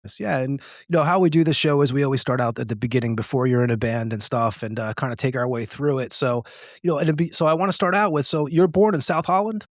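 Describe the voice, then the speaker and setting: A man hosting a podcast interview, talking quickly and circling through long questions full of fillers. His voice is mid-pitched, and the recording is dry with little room sound. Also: no echo. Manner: rambling, filler-heavy questions. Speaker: podcast host.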